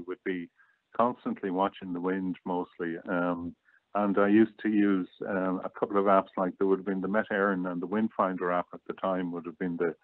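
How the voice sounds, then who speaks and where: An older Irish man being interviewed, reminiscing casually in a measured, easygoing way. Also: low-pitched, dry recording. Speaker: guest interviewee. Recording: thin phone-like call audio.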